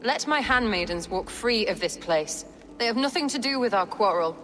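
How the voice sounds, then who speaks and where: A woman's voice: medium pitch, animated, moderate pace, a bit bright, long reverb tail, close to an everyday voice. An actress playing a frightened but noble-hearted Saxon servant woman in a video game, pleading and faltering. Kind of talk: dramatic video game acting.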